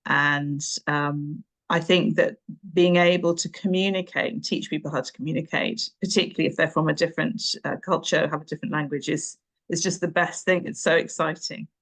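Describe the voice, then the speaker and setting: A woman's voice: deep voice, fairly even intonation, brisk, fairly bright tone. A woman, reflecting conversationally on her working life as an interview guest, with hesitant phrasing and emphatic words. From Nairobi, Kenya; boarding school in England. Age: 50s. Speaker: interview guest, English teacher and tutor. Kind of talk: conversational career reminiscence.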